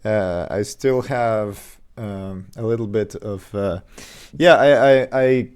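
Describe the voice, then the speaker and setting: A man's voice: fairly low pitch, slow, smooth. A man streaming his game development live, chatting casually with fillers and restarts while getting over an illness with a slight cough. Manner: relaxed, rambling, many fillers and restarts.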